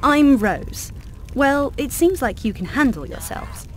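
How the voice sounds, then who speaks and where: A woman playing a brisk, bossy, self-assured adventuress in a video game, with a wry, commanding manner. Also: high-pitched, animated. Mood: wry, businesslike. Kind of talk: video game character acting. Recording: game noise behind.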